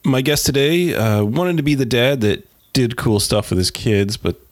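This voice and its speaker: A man hosting a casual podcast interview, speaking in a halting, hesitant way. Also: mid-range voice, no echo, studio quality. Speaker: podcast host.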